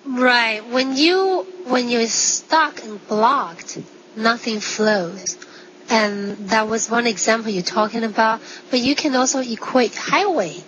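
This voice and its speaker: A woman's voice: fairly high pitch, slow, highs cut off, crowd noise. A woman doctor explaining health in an interview, using simple everyday examples. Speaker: medical doctor and acupuncturist.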